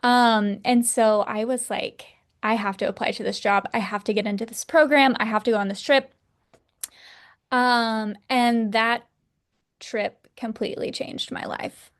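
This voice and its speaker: A woman in her thirties from Texas, a podcast guest telling her story in a relaxed, rambling way. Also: on the high side, measured pace. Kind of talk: casual interview.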